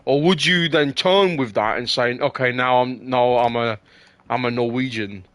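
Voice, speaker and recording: A man from England in an opinionated casual chat, who keeps circling back to his point and swears freely. His voice sits in an ordinary middle pitch. The sound is dry and close, with little room reflection. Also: normal speed, a bit bright, clean tone, no room sound. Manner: argumentative, swears, repeats words.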